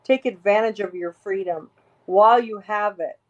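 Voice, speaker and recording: A woman with a raspy voice, talking emphatically as an interview guest, rambling and restarting her sentences. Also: medium pitch, normal speed, dull audio. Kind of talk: casual interview talk.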